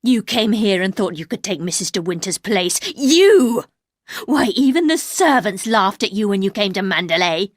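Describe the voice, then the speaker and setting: Woman reader doing a harsh, cold older housekeeper; low, slow and accusing, dry close sound. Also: lively intonation, no echo, close to an everyday voice. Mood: scornful.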